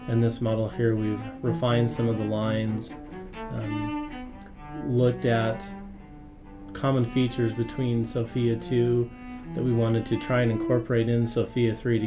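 Male design engineer, 30s, mid-pitched, informal explainer over clear background music. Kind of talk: documentary interview explanation.

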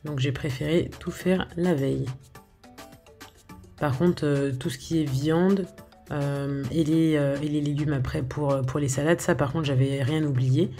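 A woman narrating her home cooking in a relaxed, halting way, stopping often to fill gaps and restart her sentences. Her voice is low-key and mellow with a gravelly fry at the ends of phrases. Faint music plays underneath. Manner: hesitant, many filler sounds and restarts. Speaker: home cook showing her recipes. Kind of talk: casual voice-over for a cooking video.

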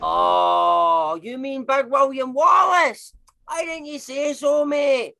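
A woman's voice: high voice, takes it slowly, creaky, pushed and bright, heavily acted. An actress playing a chatty, blunt, sweary Glaswegian woman with slangy matey talk in an audio comedy. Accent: Glaswegian Scottish.

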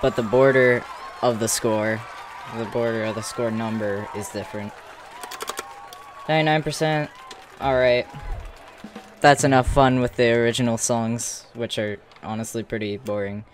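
A man's voice: medium pitch, steady tone, normal speed, some chatter behind, a little hiss or hum. A man giving casual, offhand gameplay commentary in short fragments as a streamer. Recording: game music behind the voice.

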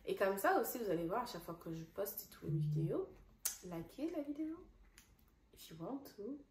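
A woman's voice: mid-range voice, expressive, fairly quick, darkish tone, reverberant. A young woman vlogger whose first language is Dutch, chatting casually to camera in a talkative way, restarting sentences and slipping in English words. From Congolese parents, raised partly in the Netherlands. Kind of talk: casual direct-to-camera chat. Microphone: phone.

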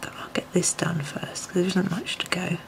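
A woman, a little croaky, gently talking through a colouring tutorial at her desk, often dropping to a near whisper. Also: fairly low pitch, flat delivery, moderate pace. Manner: soft-spoken, often drops to a near whisper. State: slightly croaky voice. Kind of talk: relaxed step-by-step tutorial. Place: home desk, filmed from above.

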